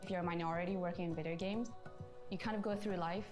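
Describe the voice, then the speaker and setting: A woman's voice: on the low side, fairly even intonation, fast. Woman from malaysia, game designer, earnest interview, music under it. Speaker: game designer in a feature segment. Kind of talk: documentary-style interview.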